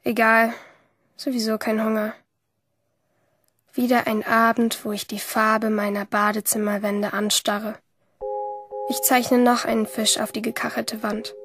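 An actress playing a lonely, sardonic teenage schoolgirl who narrates her day in a radio drama, in a breathy voice. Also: fairly high pitch, level tone, measured pace, long pauses, fairly bright tone, dry recording, studio quality. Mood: lonely, flat.

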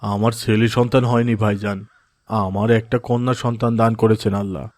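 A man's voice: lowish voice, steady tone, brisk, a little mellow, no room sound, lightly acted. A man voicing an adult father in a cartoon, dejected and easily swayed, with a hesitant, earnest manner. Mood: downcast. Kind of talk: cartoon voice acting in moral story.

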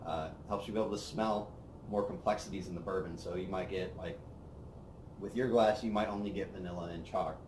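Young man, host, relaxed and rambling, lowish voice, roomy outdoor sound. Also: fairly quick, background noise. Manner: relaxed, rambling, lots of fillers. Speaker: whiskey review host. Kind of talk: casual tasting chat. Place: outdoor patio by a brick wall.

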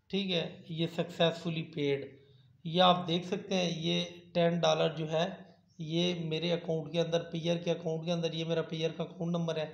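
A man's voice: fairly high pitch, gravelly. A man, talking viewers through a website on screen and often adding "theek hai". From Pakistan. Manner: repeats "theek hai" often, turns persuasive and emphatic toward the end. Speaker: channel host. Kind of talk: screen-recorded tutorial talk. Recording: echoey, dull lo-fi voice-over.

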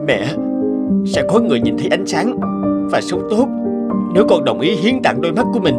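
A man's voice: on the high side, sing-song, brisk. A man voicing a selfless young son in a cartoon drama, with an imploring, emotional delivery. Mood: pleading. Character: pleading, selfless young son facing death. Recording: music behind the voice.

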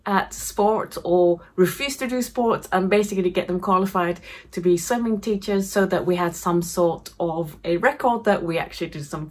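A Japanese-born woman, chatting to camera in an animated way, often laughing, in her home craft room. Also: on the low side. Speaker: craft YouTuber. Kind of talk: casual talk to camera. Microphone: camera facing her at desk distance.

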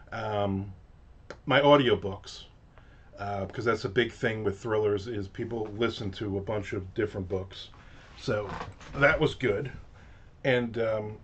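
Jersey guy rambling on a livestream, lots of ums and restarts, kinda dull audio. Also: medium pitch. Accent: New Jersey. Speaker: author hosting a livestream. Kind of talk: casual chat. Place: home office with shelves of collectibles.

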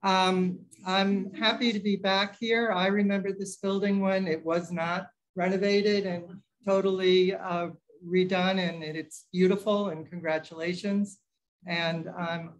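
A woman's voice: on the low side, poor audio. A chatty woman introduces herself to a room of colleagues. She talks freely and laughs at her own slips, heard through a camera across the room. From New York. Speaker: care manager. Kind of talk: self-introduction at a meeting. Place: senior living common room.

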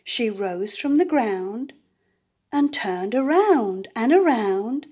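An older woman reads a children's story aloud at a leisurely pace. Her fairly high voice rises and falls with great liveliness, and she gives the animal sounds and key words playful emphasis. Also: expressive, takes it slowly, dark tone, slightly rough. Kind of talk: reading a picture book aloud. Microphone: camera above the book on a table.

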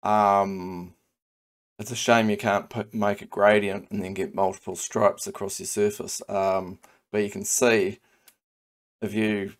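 A man with a New Zealand accent explains a design workflow over a screen recording. His voice sits low and stays fairly flat. He talks casually and thinks out loud, padding his sentences with ums and half-finished restarts. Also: unhurried. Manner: relaxed, thinking aloud with many ums and restarts. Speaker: CAD design tutor. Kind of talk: screen-recorded software tutorial.

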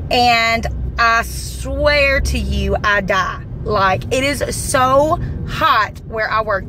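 A young woman with a Southern American accent talks in a fairly high voice. She chats casually, in the manner of a home vlogger filming herself on a phone. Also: a bit bright, a little hiss or hum, rough recording. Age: young adult. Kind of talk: casual chatty vlog. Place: small home rooms and inside a car. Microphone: phone at arm's length.

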